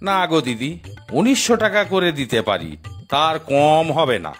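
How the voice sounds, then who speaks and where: A persistent cartoon fishmonger played by a man with persuasive sales patter. His voice is fairly high and very animated, with music underneath. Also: normal speed. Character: sales-minded male fish seller, persuasive and persistent. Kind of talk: children's cartoon acting.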